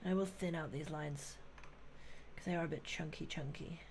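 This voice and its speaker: A woman streaming as she draws, talking to her chat in a soft, relaxing, murmuring way, often half-whispering at a desk microphone. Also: low voice, fairly even intonation, normal speed, a little hiss or hum. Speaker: streamer drawing fan art. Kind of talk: casual live-stream chat while drawing. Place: at a desk at home.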